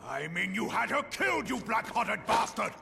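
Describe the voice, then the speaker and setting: An actor playing a grief-stricken, hot-tempered general and war hero in a video game, with a gravelly, theatrical delivery. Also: male voice, sits high, sing-song, normal speed, full character voice. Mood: furious. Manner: theatrical, accusing. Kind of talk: dramatic video game cutscene acting. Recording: loud orchestral music behind.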